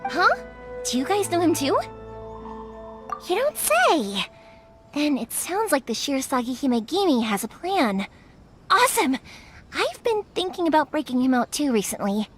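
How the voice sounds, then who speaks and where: A woman's voice: sits high, sing-song, moderate pace, pauses often, soft background music. A young woman voicing a cheerful, playful fireworks shop owner in a video game dub, bubbly and giggly with folksy phrasing.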